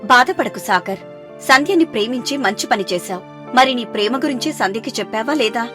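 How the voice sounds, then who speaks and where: A woman voicing a kind, reassuring young sister-in-law in an animated family story, with music behind. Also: a little high, brisk, close to an everyday voice. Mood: warm, curious.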